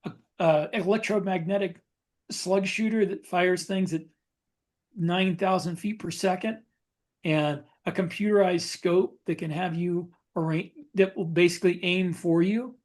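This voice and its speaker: Higher-pitched man, podcast co-host. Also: fairly even intonation, a little slow, darkish tone. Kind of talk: casual chat about guns and military life.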